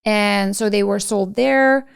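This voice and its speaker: A woman's voice: mid-range voice, slow pace, vocal fry, clear, resonant tone. A woman co-hosting a business podcast, reading scripted narration and then chatting casually with plenty of fillers. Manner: chatty, many fillers in conversation. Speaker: podcast co-host.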